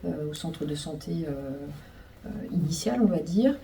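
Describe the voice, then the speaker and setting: A woman's voice: sits low, animated, normal speed, gravelly, warm tone. A woman doctor giving short, somewhat hesitant answers in an interview. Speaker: general practitioner. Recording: slightly distant mic, background noise.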